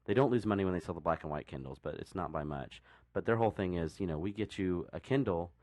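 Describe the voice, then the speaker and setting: A man co-hosting a podcast, talking casually and explaining things in a rambling, halting way. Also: deep voice, lively intonation, a little mellow, no echo. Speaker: podcast co-host. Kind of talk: casual discussion.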